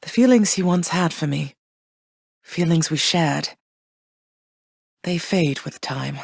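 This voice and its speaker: Persephone: tender, measured underworld queen and mother, slightly low voice, dry studio read. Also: vocal fry, breathy edge, dry recording, full character voice. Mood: pleading. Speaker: game character. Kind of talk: game voice lines.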